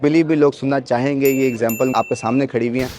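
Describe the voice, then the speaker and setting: A man's voice: medium pitch, fairly even intonation, fast talker, darkish tone. A man hosting a casual interview, agreeable and mixing English phrases into his questions.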